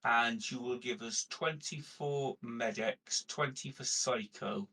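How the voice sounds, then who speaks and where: A man's voice: medium pitch, slow pace, sharp tone, distant mic. A man playing a tabletop game, casually tallying up items and bargaining.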